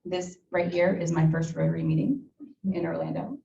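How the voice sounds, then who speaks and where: Ukrainian-born woman in her thirties, native Russian speaker, guest talk with fillers; low gravelly voice, taken from across an echoey hall. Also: steady tone, warm tone, a little hiss or hum. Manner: halting, many fillers and restarts. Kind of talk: personal talk to a club. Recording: echoey room from far off, dull.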